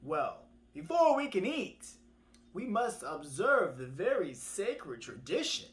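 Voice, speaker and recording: Woman acting out a sly, animated tortoise trickster. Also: lowish voice, slow, slight background noise. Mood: mock indignant. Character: sly, pushy male tortoise trickster from a folktale. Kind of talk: animated oral storytelling.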